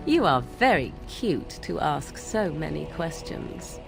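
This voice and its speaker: A woman's voice: low-pitched, big pitch swings, takes it slowly, vocal fry, full character voice. An actress playing a sly young witch who teases in a wry, archly formal way, with game music behind her. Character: sly young female witch, mocking and aloof.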